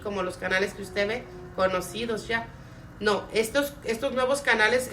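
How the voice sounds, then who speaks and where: A woman's voice: medium pitch, bright, forceful tone. A middle-aged woman preaching and giving personal testimony in Spanish, her native language, in an earnest, emphatic way that leans on repetition. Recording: distant mic with faint background noise.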